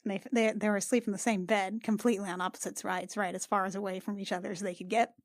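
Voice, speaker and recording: A woman's voice: mid-range voice, flat delivery, fast, no echo, clean studio sound. A woman playing in a tabletop roleplaying podcast, telling an improvised story in a casual, playful way.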